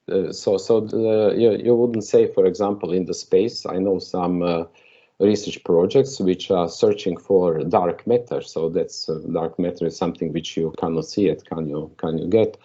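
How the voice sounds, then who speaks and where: A man's voice: low voice, warm tone. A man from Croatia with a Croatian accent gives a conference keynote over a video call, hesitant and full of filler sounds. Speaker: keynote speaker. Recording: dull lo-fi video call audio.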